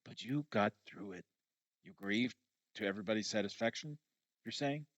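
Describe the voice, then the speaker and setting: A man's voice: on the low side, moderate pace, dry recording, lightly acted. Muffled, casually prodding young man, read by a man. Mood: probing. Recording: dull, lo-fi audio.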